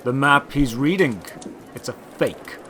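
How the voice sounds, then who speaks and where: A man voicing a tall, mysterious old man in a fantasy audio drama, gruff and calm, speaking slowly and deliberately. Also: medium pitch, a little hiss or hum. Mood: knowing, cryptic. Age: older. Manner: slow, deliberate, measured delivery. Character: tall old robed man, mysterious, cold yet calming.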